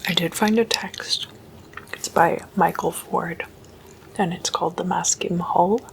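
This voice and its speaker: A woman doing whispered ASMR as a host, hushed and deliberate, mostly in breathy, unvoiced whisper. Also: lowish voice, fairly even intonation, a little hiss or hum, poor audio. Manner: slow, hushed, deliberate delivery.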